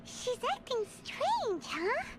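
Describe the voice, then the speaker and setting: A woman voicing a tiny, childlike, excitable fairy companion in a video game, with a squeaky, expressive voice. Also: slow, a crowd in the background, heavily acted. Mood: curious, puzzled. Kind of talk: cartoon-like video game voice acting.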